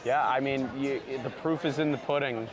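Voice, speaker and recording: A man's voice: mid-range voice, steady tone, normal speed, music in the background, a crowd in the background. A man who founded a barber brand, talking earnestly in a sit-down interview and leaning on lots of "you know" fillers. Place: outdoors at a busy trade convention.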